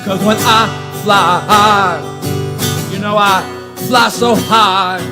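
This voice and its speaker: Open mic singer, male, unhurried drawl, stage mic in a small coffee shop. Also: high voice, steady tone. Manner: drawling casual singing with guitar. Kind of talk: singing an original song.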